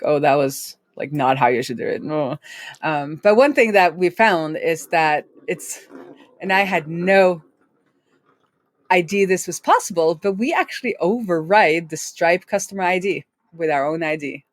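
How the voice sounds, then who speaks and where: A woman's voice: low voice, dry recording, clean studio sound. A woman with a head cold and a Norwegian first language, co-hosting a casual tech chat, rambling and laughing often. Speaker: co-host.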